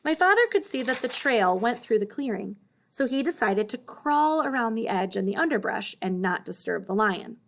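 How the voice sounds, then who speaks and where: A woman's voice: a little high, animated, moderate pace, creaky. A woman narrating a children's story as a read-aloud. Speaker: storybook reader.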